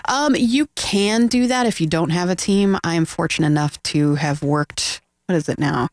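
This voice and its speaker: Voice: medium pitch, normal speed, dry recording, clean studio sound. A chatty, friendly filmmaker guest, talking casually in an interview. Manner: chatty, friendly, laughs easily.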